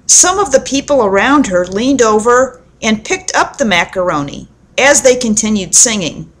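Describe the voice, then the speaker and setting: A woman telling a children's story aloud in an expressive, deliberate storybook manner, with dramatic pauses. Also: fairly high pitch.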